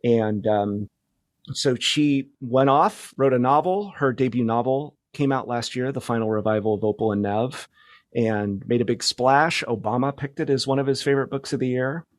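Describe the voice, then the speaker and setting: A middle-aged man speaking as a guest in a casual interview, reflective and understated, with halting phrasing. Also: mid-range voice, no echo, clean studio sound. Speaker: podcast guest, media professional.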